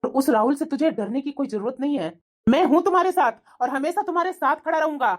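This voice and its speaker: A woman plays a young husband in a domestic melodrama. The voice is high-pitched for the part. He speaks earnestly, reassuring his wife and standing firm. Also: quick pace, close to an everyday voice. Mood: concerned, reassuring. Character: supportive, resolute young husband. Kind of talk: melodramatic family story dialogue.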